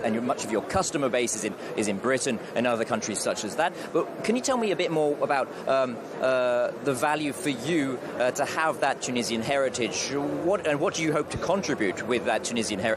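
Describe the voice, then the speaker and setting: A man interviewing a guest at an expo stand, hesitant and full of "uh" fillers. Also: mid-range voice. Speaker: event interviewer. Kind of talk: on-site interview. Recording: clear street noise behind.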